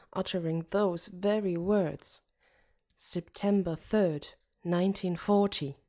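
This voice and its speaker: Woman voicing a pensive teenage boy, mellow. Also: medium pitch. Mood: somber. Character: pensive, uneasy teenage boy narrating his story. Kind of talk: scripted audiobook narration.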